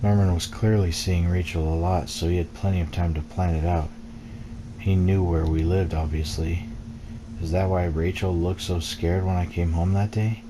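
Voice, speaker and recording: A man with a deep voice reads a first-person game story aloud. His delivery is measured and brooding, like dramatic narration. There is clear noise and game ambience behind him. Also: steady tone. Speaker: let's play gamer reading game text aloud.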